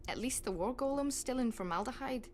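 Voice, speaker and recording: An actress playing a dry, firm member of school staff in a video game, with a wry, clipped way of talking. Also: female voice, on the high side, expressive, fairly quick, a little mellow, slight background noise, lightly acted. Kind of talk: video game cutscene acting.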